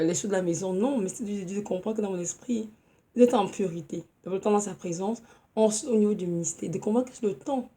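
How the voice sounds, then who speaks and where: Woman, 30s, hoarse from a cold, earnest informal preacher, mellow tone, halting delivery. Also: medium pitch. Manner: earnest, halting, with many fillers and restarts. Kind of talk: informal home sermon.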